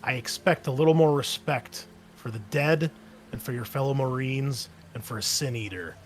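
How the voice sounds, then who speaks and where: A man's voice: mid-range voice, moderate pace, slight background noise, natural voice. A man voicing a stern, harsh female marine captain who barks threats. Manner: barking threats.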